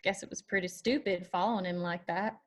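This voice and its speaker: A woman's voice: fairly low pitch, steady tone, fairly quick, natural voice. A woman playing a hurt, confrontational young daughter in a dramatic screenplay table read. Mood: hurt, bitter.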